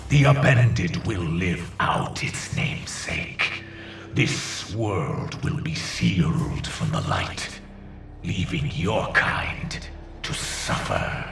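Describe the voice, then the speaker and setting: An actor playing an ancient alien villain in a video game, a grandiose, menacing zealot who speaks in theatrical, measured oratory. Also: male voice, sits low, vocal fry, heavily acted. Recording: cavernous echo, distant, music underneath.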